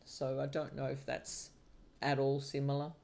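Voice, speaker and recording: A woman's voice: very deep voice, completely flat delivery, moderate pace, creaky, a little mellow. A middle-aged woman from Finland who teaches palmistry, chatting casually on her livestream in a hesitant way, with many fillers and restarts. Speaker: palmistry teacher and channel host.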